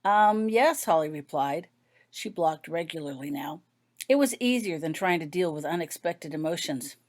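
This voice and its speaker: A woman's voice: low-pitched, lively intonation, moderate pace, darkish tone. A woman reading her own story aloud as scripted audiobook narration.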